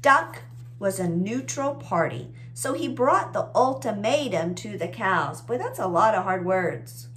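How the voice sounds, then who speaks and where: A woman's voice: medium pitch, expressive, unhurried, a bit bright, a little hiss or hum. A woman in her forties hosting children's story time, reading expressively and explaining in a teacherly, encouraging way. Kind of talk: expressive read-aloud with simple explanations. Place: small indoor room with bookshelves.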